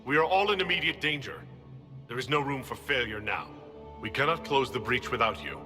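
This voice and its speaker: A man's voice: mid-range voice, a little fast, music in the background, lightly acted. A man playing a stern, unyielding inquisition leader in a video game, speaking firmly and formally. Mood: stern urgency. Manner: firm, formal, commanding. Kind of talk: video game cutscene acting.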